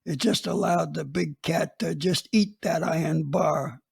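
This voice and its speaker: A man's voice: a little high, measured pace, vocal fry, a little mellow, breathy edge, heavily acted. An actor playing a blunt, unapologetic retired police chief in his eighties, recounting a case in a radio drama. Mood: somber. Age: 80s. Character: gruff old male retired police chief.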